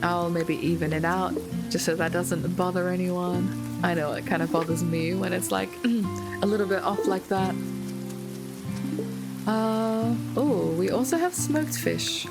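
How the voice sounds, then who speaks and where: A woman's slightly hoarse voice in casual gameplay commentary. She rambles easily with ums and small jokes. Loud game music and rain play under her. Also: on the low side, a little slow. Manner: chatty, light punning asides, frequent ums and uhs. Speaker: gaming video creator.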